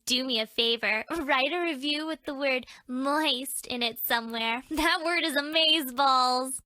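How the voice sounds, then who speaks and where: Cheeky bratty dating game flirt, high bright voice, teasing and theatrical. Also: female voice, unhurried, clean tone, no room sound. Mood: smug teasing. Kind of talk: video game character voice lines.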